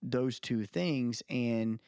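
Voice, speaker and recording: A man's voice: mid-range voice, very slow, a little mellow, clean tone, no echo, clean studio sound. A man co-hosting a podcast, talking casually and opinionatedly about music in a rambling, storytelling way. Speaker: podcast co-host.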